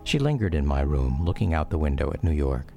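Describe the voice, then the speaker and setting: A man narrating a scripted memoir for a radio drama in a measured, reflective storytelling manner. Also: extremely low pitch, big pitch swings, mellow. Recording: music bed under narration.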